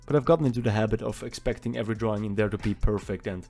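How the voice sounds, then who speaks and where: A young man talks in a loose, rambling way, poking fun at himself as he goes. His voice sits low and sounds soft and mellow. Faint music plays underneath. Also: rapid-fire. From Austria. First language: German. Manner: rambling, self-deprecating, chatty. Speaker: artist vlogger. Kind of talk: casual voice-over while drawing.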